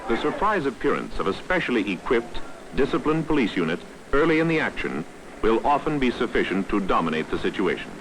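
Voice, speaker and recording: A man's voice: medium pitch, sing-song, fairly quick, noisy background. A man narrating a 1960s training film from a script in a formal, authoritative, instructional manner, on a vintage soundtrack.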